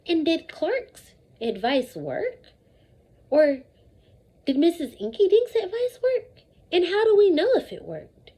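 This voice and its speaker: A woman with a high, mellow voice leads a read-aloud lesson as an elementary teacher. She takes her time, stressing key words and repeating them for young pupils in an animated call-and-response manner. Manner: animated, repetitive call-and-response with deliberate emphasis and questions to pupils.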